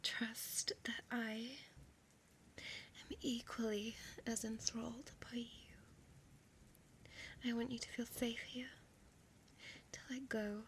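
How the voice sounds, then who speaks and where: Woman acting as female vampire, breathy whisper, unhurried, possessive, tender, seductive, ASMR roleplay, faint background noise. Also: a little high, flat delivery, fairly bright tone. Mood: tender, coaxing. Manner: slow, intimate, coaxing, formal old-fashioned phrasing.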